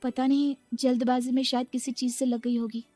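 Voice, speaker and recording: A meek young daughter-in-law from a cartoon family drama, acted by a woman. She is devoted and apologetic, and speaks in a high, submissive voice. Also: flat delivery, a little fast, dark tone, faint music behind. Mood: gentle, wistful.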